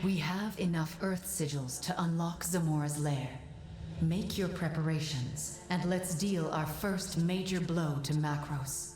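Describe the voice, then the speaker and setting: A calm, wise mystic guide from a fantasy game. Her lowish voice echoes over music, composed and gently commanding. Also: measured pace. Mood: composed, purposeful. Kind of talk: cinematic fantasy game acting.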